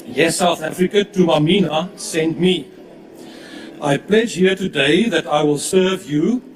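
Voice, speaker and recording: Brief: Afrikaans-native man, opposition MP, slightly high voice, echoey chamber from a distance. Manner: measured, respectful. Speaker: opposition member of parliament. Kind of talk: formal parliamentary address. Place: large parliament chamber. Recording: echoey hall from far off.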